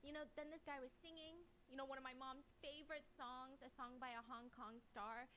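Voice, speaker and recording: A woman's voice: sits high, fairly even intonation, fairly quick, pushed and bright, a little hiss or hum. A woman chatting casually as she recaps a show, acting out what people said as she goes. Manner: acts out quoted dialogue while recounting. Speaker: streamer recapping a dating show. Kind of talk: animated casual storytelling chat.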